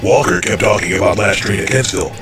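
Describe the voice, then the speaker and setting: A man's voice: sits low, fast talker, lightly acted. A man playing a resolute crime-fighting hero in old-time radio drama, urgent, terse and authoritative. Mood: urgent, deducing. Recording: roomy sound with music behind.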